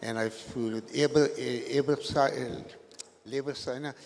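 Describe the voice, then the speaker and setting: An older man from Pakistan, a retired pilot speaking as a guest on stage, talking haltingly with many fillers and restarts. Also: medium pitch, steady tone, a little mellow. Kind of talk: halting live stage interview. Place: large event hall. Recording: long hall reverb, faint noise.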